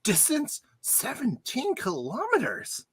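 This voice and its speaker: A woman playing an excitable starship officer in an amateur radio play, with theatrical exclamations. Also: high voice, slow pace, breathy edge, dry recording, clean studio sound, exaggerated voice. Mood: excited. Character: excitable starship officer working the computer consoles.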